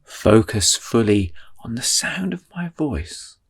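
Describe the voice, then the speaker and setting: Male meditation guide: unhurried, calming delivery with a melodic, rising-and-falling voice. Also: mid-range voice, husky. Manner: slow, soothing, drawn-out phrasing.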